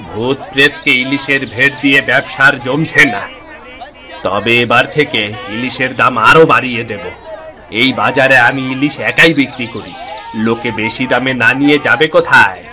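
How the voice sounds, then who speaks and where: A man's voice: mid-range voice, pushed and bright. A man playing a greedy, anxious male fish merchant in a moral cartoon, with a dramatic, exclaiming delivery. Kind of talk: Bengali moral cartoon voice acting. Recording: music bed behind the voice.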